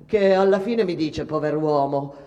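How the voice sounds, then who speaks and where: A woman's voice: sits low, moderate pace, warm tone, lo-fi sound, natural voice. An actress playing a bitter, weary Italian immigrant mother in a dramatic radio monologue, plain-spoken, rustic and emphatic. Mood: resentful. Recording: reverberant hall-like sound.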